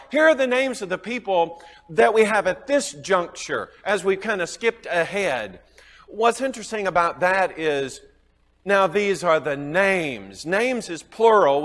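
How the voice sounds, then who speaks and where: High-voiced Southern man teaching Bible class. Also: a bit bright, long reverb tail. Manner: chuckling often, quizzing the class.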